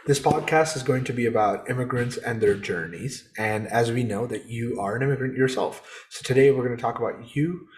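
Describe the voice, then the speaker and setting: A man's voice: mid-range voice, fairly quick, few pauses, darkish tone. Young man casually hosting a podcast. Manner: casual, fillers like "like" and "uh". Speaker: podcast host.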